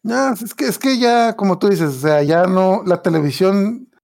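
A man's voice: sits high, measured pace, creaky, a little mellow. A man, co-hosting a casual podcast chat, joking and slangy, often acting out little dialogues. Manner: joking, slangy storyteller, imitates voices. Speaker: podcast co-host.

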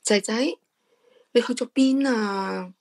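A woman's voice: on the high side, slow pace. A woman with a Hong Kong Cantonese accent voicing an anxious, doting single mother in a radio drama, who talks in a pleading, emotional way.

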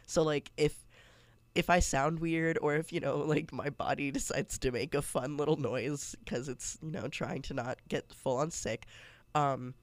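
A man's voice: on the high side, fairly even intonation, unhurried, no room sound. A congested young man hosting a casual solo podcast, chatty and rambling with many fillers and restarts. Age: young adult. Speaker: podcast host.

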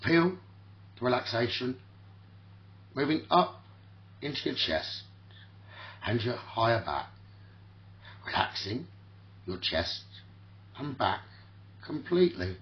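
Male sleep-talkdown host, soothing and slow, repetitive phrasing with long gaps, very varied intonation, dull lo-fi audio. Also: mid-range voice, big pitch swings. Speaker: guided meditation host. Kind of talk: guided sleep meditation, spoken relaxation talkdown.

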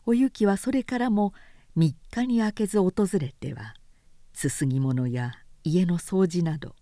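A woman narrating a literary story in a calm, measured, soft-spoken manner. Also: on the low side, no echo, studio quality. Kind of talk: literary reading.

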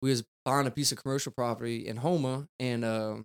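A young man talks loosely as a podcast guest, rambling and tossing in "dude" and "man". His voice is smooth and clear, sitting at a middle pitch for a man. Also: steady tone, brisk. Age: 20s. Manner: rambling, laid-back, peppered with "dude" and "man". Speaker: guest, car detailer. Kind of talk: casual podcast chat.

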